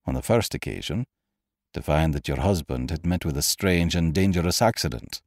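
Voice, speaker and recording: A man playing a grave, composed doctor who questions firmly in a stern, measured, formal way for a storybook. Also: rumbling low, darkish tone, dry recording, clean studio sound. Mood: grave, probing.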